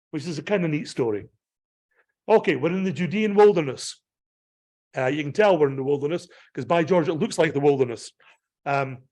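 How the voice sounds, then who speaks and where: A man's voice: a little high, a little mellow. A Scottish man, a pastor, giving a digressive slideshow talk about a pilgrimage, full of ums and restarts. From Scotland.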